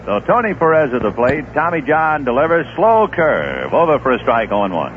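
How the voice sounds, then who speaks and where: Guy doing baseball play by play on old radio, voice rises and falls a lot, chatty and storytelling, thin phone-like sound. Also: normal speed. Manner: relaxed storyteller who drifts into anecdotes between pitches. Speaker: play-by-play announcer. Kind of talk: live baseball radio commentary. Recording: tinny lo-fi 1970s radio broadcast with faint hiss.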